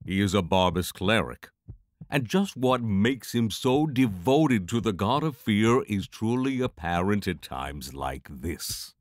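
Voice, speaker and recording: Man as a wry, lively-voiced lightning mage. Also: lowish voice, unhurried, no room sound. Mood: wry, pragmatic. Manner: dry, wry, reasoning aloud. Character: male lightning mage, wry, pragmatic adventurer. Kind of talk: fantasy audio drama acting.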